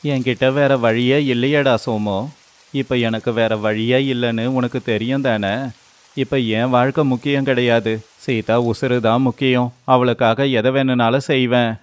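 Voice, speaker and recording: A man's voice: mid-range voice, steady tone, moderate pace, clean tone, close to an everyday voice. A man playing a poor, humble village labourer and devoted husband in a moral story, with a pleading, deferential manner. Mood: worried. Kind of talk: dramatized moral story voice acting.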